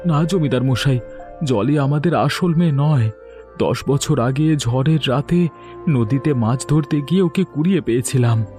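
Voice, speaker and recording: A man's voice: mid-range voice, measured pace, mellow, soft background music, natural voice. A man playing a humble, deferential village fisherman and father in a cartoon drama, speaking in a meek, pleading way. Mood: confessing. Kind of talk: dramatic cartoon story acting.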